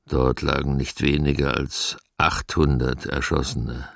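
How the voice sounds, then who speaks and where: An actor playing a grave male eyewitness giving sombre, measured testimony in a documentary radio play. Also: rumbling low, little pitch movement, gravelly, dry recording, clean studio sound, exaggerated voice. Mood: grave, haunted. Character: grave male eyewitness recalling forced labour among the dead. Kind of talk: sombre first-person testimony, read dramatically.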